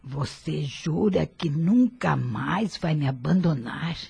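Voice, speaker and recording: An actress playing an ailing elderly mother in a radio drama, speaking softly and imploringly. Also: low voice, lively intonation, slow, gravelly, slightly rough, full character voice. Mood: tender. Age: older. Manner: soft, imploring.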